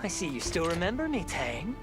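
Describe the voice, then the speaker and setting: A man's voice: fairly high pitch, huge pitch swings, brisk. A man voicing a sly, mocking male gang member who taunts in a sing-song way. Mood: taunting. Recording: distant voice over very loud game music.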